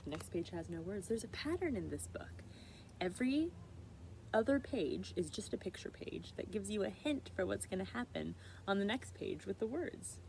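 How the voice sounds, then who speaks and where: A woman's voice: mid-range voice, expressive, moderate pace, vocal fry, a little mellow, slight background noise, rough recording. A woman chatting casually as a storyteller reading to children, putting questions to her listeners. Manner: conversational, asks listeners questions. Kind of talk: casual interactive read-aloud chat.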